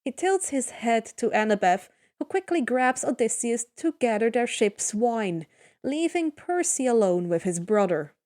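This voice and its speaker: A woman's voice: a little high, sing-song, unhurried, a little mellow. A woman narrating a fan fiction story aloud, stumbling and restarting now and then. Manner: plain narration with small slips and restarts.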